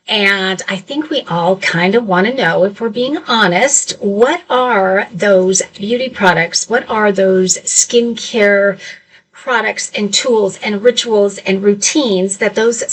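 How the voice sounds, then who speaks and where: A woman in her fifties hosts a live shopping stream from a home office, chatty and upbeat as she talks straight to her viewers. Her voice sits at an ordinary middle pitch. The webcam mic is set a little back from her. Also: distant mic, poor audio. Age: 50s. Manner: chatty, upbeat, addresses chat directly.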